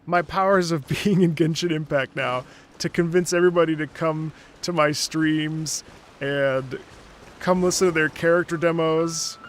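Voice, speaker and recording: A man's voice: on the high side, fairly even intonation, measured pace, slight background noise. A man streaming a game, chatting casually in a joking, chatty manner. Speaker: streamer and voice actor. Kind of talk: casual livestream chat over gameplay. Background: game music.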